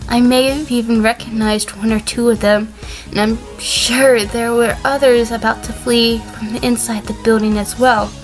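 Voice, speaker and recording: A woman's voice: on the high side, steady tone, unhurried, soft background music, close to an everyday voice. A woman playing an evasive, defensive sheep on the witness stand in a fan courtroom audio drama, explaining carefully.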